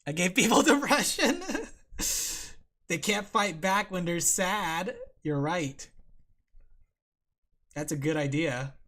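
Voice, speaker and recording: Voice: medium pitch, expressive, slow, long pauses, fairly bright tone. A vtuber streamer who giggles often and chats playfully with viewers while drawing. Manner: giggly, playful, talks to chat. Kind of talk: casual livestream chat while drawing.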